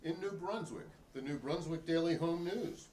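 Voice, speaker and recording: A man's voice: fairly high pitch, normal speed, a little mellow, voice pushed up, natural voice. A man playing a plainspoken, wry printer testifying politely and pointedly in a dramatic reading of a 1950s hearing. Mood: earnest, indignant.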